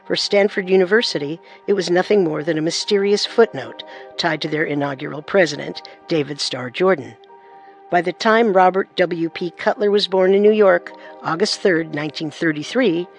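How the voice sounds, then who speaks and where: A woman's voice: lowish voice, normal speed, soft background music. A woman co-narrating a true-crime story in a scripted, suspenseful manner.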